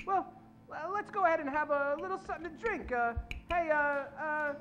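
A man playing a flustered, sympathetic gentleman in a stage radio play, hesitant and stammering. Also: very high voice, moderate pace, lo-fi sound. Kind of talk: old-time radio acting. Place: theatre stage.

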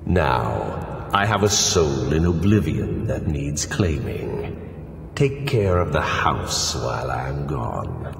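A man voicing a cruel, gloating demon lord in a fantasy video game, gravelly, imperious and savouring every word. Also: very animated, slight background noise, heavily acted. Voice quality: very deep, gravelly voice. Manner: slow, imperious, menacing, savouring words. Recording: echoey reverberant hall, game music behind.

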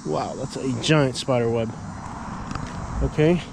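A man's voice: medium pitch, slow, creaky, noisy background. Rambling man vlogging outdoors. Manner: rambling, chatty, thinks out loud with fillers. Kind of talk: casual walking vlog. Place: outdoors at an empty lot, open air. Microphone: handheld action camera at arm's length.

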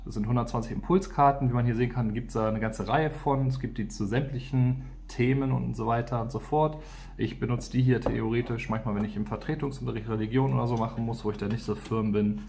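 Male, mid-range voice, informal unscripted reviewer, thinking aloud, clearly noisy recording. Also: medium pitch, a little mellow. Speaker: product reviewer and teacher. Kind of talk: casual unscripted product walkthrough.

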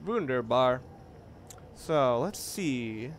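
A man with a mid-pitched voice gives casual Let's Play commentary. He rambles, circling back to rephrase himself, over clearly noisy video game ambience. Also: animated, very slow. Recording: noisy, game sounds behind the voice.

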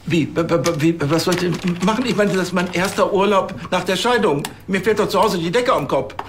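An actor playing a hapless, self-pitying police officer, flustered and plaintive, in a crime comedy. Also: male voice, high-pitched, fairly quick, noisy background. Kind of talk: television crime comedy acting.

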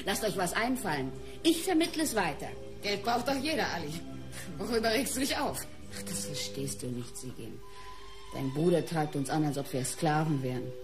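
An actress playing a painter's bitter, sharp-tongued wife in a German radio crime drama, caustic and weary. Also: female voice, deep voice, big pitch swings, moderate pace, music in the background.

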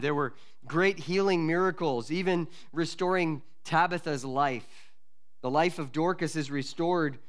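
A man in his forties, a pastor, preaching an expository sermon from a lectern mic in a church, earnest and measured with pauses and restarts. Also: a little high. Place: church sanctuary at a wooden lectern. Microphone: lectern microphone.

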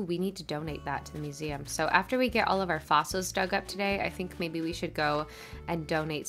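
A woman's voice: lowish voice, a bit bright. A woman with a stuffy, congested nose, chatting casually over her gameplay as a channel host. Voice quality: slightly nasal. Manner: chatty, upbeat, rambling.

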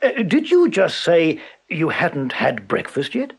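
A man voicing a clever peasant farmer who flatters with eager, over-polite courtesy, pitched higher and brighter. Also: takes it slowly, slightly rough, exaggerated voice. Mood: crafty polite.

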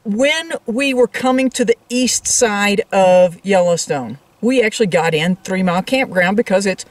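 A woman's voice: medium pitch, expressive, normal speed, dry recording, distant mic. A woman co-hosting a travel vlog, explaining things in casual, conversational talk addressed straight to viewers. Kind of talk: casual explanatory chat to camera.

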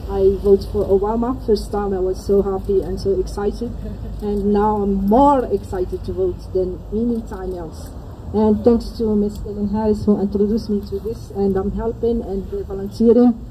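A woman from Morocco gives a short, earnest speech outdoors in simple English. Her voice is very dark and mellow, and faint street noise sits behind it. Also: mid-range voice, steady tone, moderate pace, low-quality recording. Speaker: community volunteer. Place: outdoors on a lawn.

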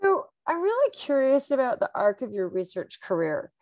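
A woman's voice: sits high, sing-song, normal speed, darkish tone, no room sound. Enthusiastic woman hosting a webinar. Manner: enthusiastic, curious questioning. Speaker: webinar host, interviewer. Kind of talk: moderating a webinar Q&A.

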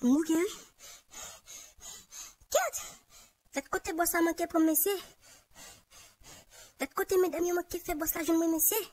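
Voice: extremely high pitch, slow, long pauses, a little mellow, no echo. A performer with a Haitian accent voicing an aggrieved, insistent young male cartoon sidekick who grumbles and repeats himself. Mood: agitated. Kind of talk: Haitian Creole cartoon dialogue.

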